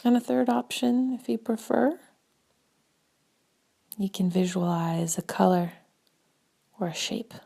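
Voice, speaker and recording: A woman leading a guided meditation in a gentle, spaced-out way, her soft breathy voice often trailing toward a whisper. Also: mid-range voice. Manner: slow, gentle, spaced-out phrasing with long pauses.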